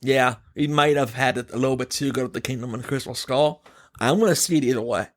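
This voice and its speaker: A man's voice: medium pitch, fast talker, no echo, studio quality. A man hosting a podcast, giving his opinions in casual, emphatic chat and putting questions to his co-host.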